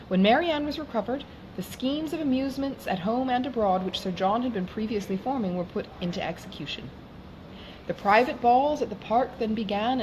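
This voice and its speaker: Lively woman narrator over background noise. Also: a little high. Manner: measured, formal reading with occasional stumbles. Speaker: audiobook reader. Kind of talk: scripted narration of a classic novel. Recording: clearly noisy background.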